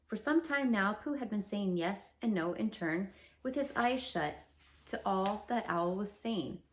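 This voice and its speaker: A woman reading a children's storybook aloud with an expressive, sing-song delivery. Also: medium pitch, measured pace. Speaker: read-aloud narrator.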